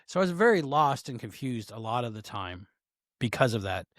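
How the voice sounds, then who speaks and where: A man's voice: mid-range voice, sing-song, a little fast, no echo, studio quality. A man co-hosting a podcast, chatting casually with offhand, joking asides. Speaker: podcast co-host. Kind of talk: casual review chat.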